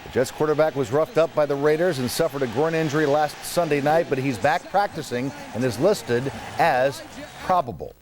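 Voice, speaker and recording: A man's voice: on the high side, moderate pace. American male TV sportscaster, faint noise. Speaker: TV sports anchor. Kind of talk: sportscast narration.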